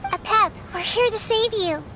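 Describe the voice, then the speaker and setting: A woman voicing a gentle, solemn young female guide in a video game, earnest and measured. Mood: earnest pleading. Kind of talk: scripted game voice acting. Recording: very loud rumbling background noise.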